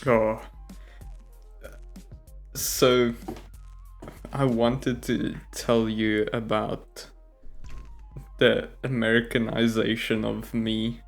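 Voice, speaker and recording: A man's voice: on the low side, level tone, extremely slow, creaky, long pauses, faint music behind. A South African man, a native Afrikaans speaker, co-hosting a casual podcast chat, hesitant and laughing often. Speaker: podcast co-host. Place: living in America.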